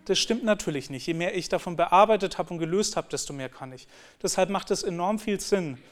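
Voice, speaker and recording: A man giving a talk on teaching, explaining in an earnest way and often restarting his sentences. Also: sits high. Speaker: lecturer.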